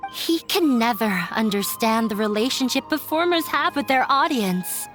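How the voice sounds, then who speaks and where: A wistful young runaway, high and slow. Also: female voice. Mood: bitter. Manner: soft, wistful, measured. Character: young woman runaway, troubled by a harsh father. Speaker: theater member. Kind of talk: video game voice acting.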